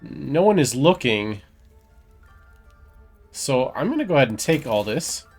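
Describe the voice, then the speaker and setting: A man's voice: medium pitch, sing-song, a little slow, soft background music. A man giving casual commentary on a video game he is playing, sometimes reading game text aloud.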